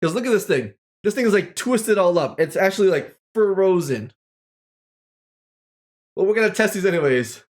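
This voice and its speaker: A playful streamer talks casually to an online audience. The pitch jumps around with great liveliness, and phrases come out as exclamations, often repeated for effect. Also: animated, moderate pace, long pauses. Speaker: livestream host. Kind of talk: casual livestream chat to viewers.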